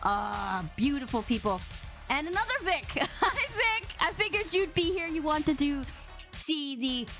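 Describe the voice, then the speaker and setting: A woman hosting a casual live-streamed podcast, bubbly and animated with a big, boomy voice. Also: unhurried. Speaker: podcast host. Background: faint background music.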